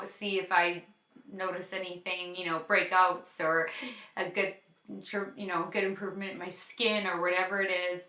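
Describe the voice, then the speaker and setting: Woman doing a makeup tutorial, kinda hoarse, super gushy and bright, echoey room. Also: a little high, slight background noise. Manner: gushing, enthusiastic. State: slightly hoarse from overuse. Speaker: beauty vlogger.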